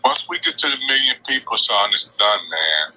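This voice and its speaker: A man's voice: lowish voice, wildly swooping pitch, moderate pace, pushed and bright, rough recording. A man chiming in casually as a participant, with fillers in his speech. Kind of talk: casual conversation.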